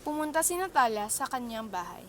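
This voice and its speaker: A woman's voice: on the high side, big pitch swings, a little fast, slight background noise. A woman reading scripted narration for an amateur radio drama.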